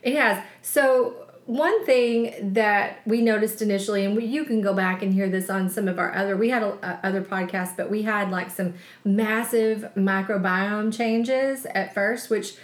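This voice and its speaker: A woman's voice: mid-range voice, fairly even intonation, normal speed. Chatty woman cohosting a podcast, jokey, laughs a lot, room has a lot of echo. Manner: chatty, laughs easily, self-mocking. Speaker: podcast co-host, chef. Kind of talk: casual podcast chat. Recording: roomy echo.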